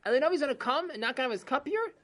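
A poor, gentle husband from a children's story who hesitates and stumbles over his words, voiced by a woman telling the tale. His voice sits higher than the narrator's own and swings around. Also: lively intonation. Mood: insistent. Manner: hesitant, halting.

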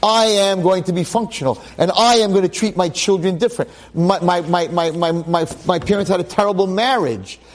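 A man's voice: sits high, a little fast, few pauses. A man giving a Torah lecture to a class, emphatic and dramatic, repeating phrases and asking rhetorical questions. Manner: public speaker.

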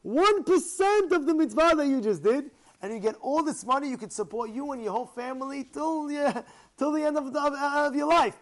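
Wealthy male aristocrat as told by a male storyteller, insistent deal-maker, high-pitched, persuasive and repetitive. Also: lively intonation, moderate pace. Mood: insistent bargaining.

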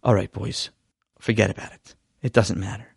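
Man playing a weary dad: calm, patient, sighs often, no room sound. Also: lowish voice, normal speed, gravelly, slightly rough, dry recording, clean studio sound, pitched below the performer's own voice, full character voice. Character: patient, weary adult father.

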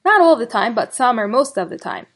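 Upbeat woman hosting, higher voice. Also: sing-song, fairly quick. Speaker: podcast host. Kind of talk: hosting, reading listener feedback.

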